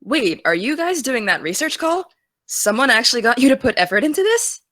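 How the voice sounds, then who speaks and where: A woman's voice: a little high, very animated, brisk, a bit bright, no echo. An actress playing a gung-ho teenage girl podcaster, teasing and friendly, in a naturalistic audio drama. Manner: teasing, enthusiastic.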